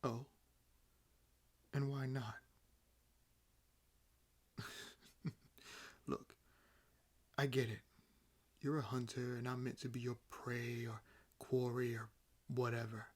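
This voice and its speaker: Man playing a slow, wry ancient vampire. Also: mid-range voice, little pitch movement. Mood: amused, dismissive. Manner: unhurried, wry, theatrical pauses. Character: ancient awakened male vampire, nonchalant, condescendingly amused. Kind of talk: roleplay audio acting, addressing the listener.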